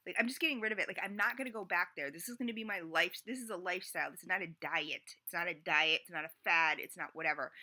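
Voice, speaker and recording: A woman's voice: fairly low pitch, bright, forceful tone. A woman in her late forties talking in a rambling, chatty confessional way, full of fillers and restarts. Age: 40s. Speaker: vlogger. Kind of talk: casual confessional vlog.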